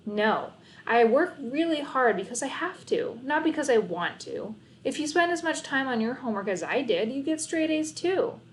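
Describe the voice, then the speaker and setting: A woman's voice: high voice, animated, moderate pace, slight background noise, pitched above the performer's own voice. A studious older-sister type from a children's storybook, played by a woman reader. She is stressed and bossy, speaking in a raised, emphatic voice full of exasperation. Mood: exasperated, defensive.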